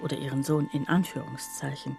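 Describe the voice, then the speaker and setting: An actress playing a troubled factory worker, telling her story in a soft, husky, halting and confiding radio drama monologue. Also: rumbling low, fairly even intonation, soft background music, full character voice. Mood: reflective. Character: troubled female factory worker recounting her life, bewildered, plainspoken.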